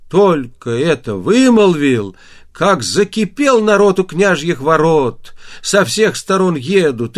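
A male storyteller reads a folk tale with theatrical flair. His fairly high voice swings widely in pitch, giving the folksy narration a very lively shape. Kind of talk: expressive fairy-tale audiobook narration.

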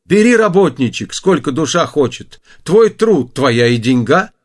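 A man's voice: on the high side, sing-song, measured pace. A man voicing a rich, generous master in a folk tale, with a hearty, folksy manner.

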